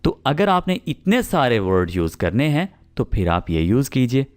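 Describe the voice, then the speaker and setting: A man with a Pakistani accent teaching spoken English, explaining patiently and repeating example sentences slowly. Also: medium pitch, sing-song, a little mellow.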